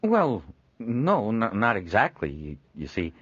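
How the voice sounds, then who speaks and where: A man's voice: on the low side, very animated, moderate pace, fairly bright tone, no echo, pitched above the performer's own voice. A timid young artist, as a man voices him, hesitant and stumbling over his words. The sound is dull and dry, close to the mic. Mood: unsure. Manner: tentative, stammering. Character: shy young male artist, unsure.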